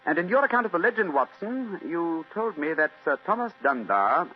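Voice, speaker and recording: An unruffled British gentleman sleuth in a vintage radio mystery. The voice is fairly high and very expressive, with formal, precise phrasing, on a dull recording with background hum. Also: moderate pace, slightly rough, soft background music. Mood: probing, measured. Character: suave, calm, analytical English gentleman detective. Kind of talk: 1940s radio drama acting.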